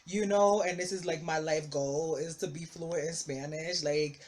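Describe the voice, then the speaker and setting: A young adult vlogger giving a casual, rambling update, full of "you know" and "like", with excitable outbursts. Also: medium pitch, normal speed.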